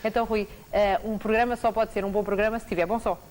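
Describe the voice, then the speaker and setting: A fast-talking woman with a European Portuguese accent hosts a live studio program, prompting her crew with quick questions. Her voice sits at a middle pitch. The sound is an old VHS television recording with faint hiss. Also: steady tone, a little mellow. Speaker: TV show host.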